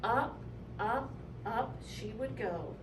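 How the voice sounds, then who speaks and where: A woman reading a children's picture book aloud in an animated, deliberate way with dramatic emphasis. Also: on the high side. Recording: echoey room, distant mic, clear noise.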